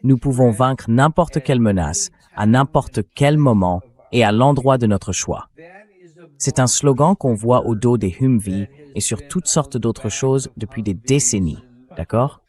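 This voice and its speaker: A man's voice: mid-range voice, a little slow, darkish tone. An AI-voiced man speaking as a talk show host, giving dubbed political commentary. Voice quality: synthetic AI voice.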